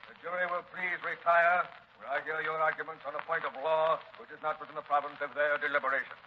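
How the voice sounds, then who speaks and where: A stern judge from a 1940s radio courtroom drama, played by a man, formal and authoritative. His voice is fairly high, on a dull old recording. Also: flat delivery, quick pace, slight background noise, natural voice.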